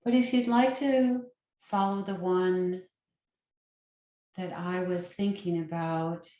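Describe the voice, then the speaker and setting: Slow-speaking woman guiding meditation, roomy sound. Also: on the low side, fairly even intonation. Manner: soothing, unhurried, gentle instruction with long pauses. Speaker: meditation guide, yoga nidra teacher. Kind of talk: guided meditation.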